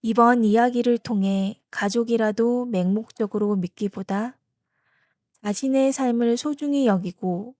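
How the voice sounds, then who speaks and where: A woman's voice: a little high, measured pace, darkish tone, no echo, clean studio sound. A woman presenter giving scripted closing commentary addressed to viewers.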